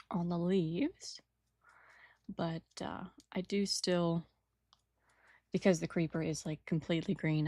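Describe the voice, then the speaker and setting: Woman, raspy, lowish voice, rambling casual chat, home desk mic. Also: darkish tone, no room sound, studio quality. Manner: rambling, apologetic, trails off mid-sentence. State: early-morning raspiness. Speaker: hobby painter and channel host. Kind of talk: casual talk while painting a model. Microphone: new desk microphone without filters.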